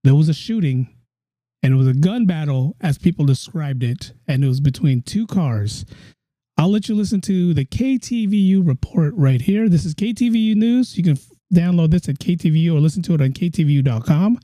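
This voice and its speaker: Dark-voiced chatty man hosting a podcast. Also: fairly high pitch, animated, fairly quick, dry recording. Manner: chatty, repeats phrases for emphasis. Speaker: podcast host. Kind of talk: casual podcast commentary.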